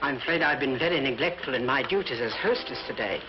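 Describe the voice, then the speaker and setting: An actress playing an imperious, courteous older sister with formal, gracious speech in a 1940s British film melodrama. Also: female voice, extremely low pitch, expressive, faint music behind. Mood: graciously formal. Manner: formal, gracious, measured wording. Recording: muffled, hissy 1940s film soundtrack.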